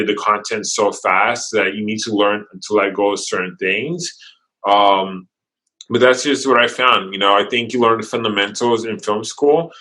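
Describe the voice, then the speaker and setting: A man who learned English as a second language, talking in an interview as a guest videographer, energetic and quick with restarts. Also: on the low side, rough recording. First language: not English. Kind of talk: casual interview chat.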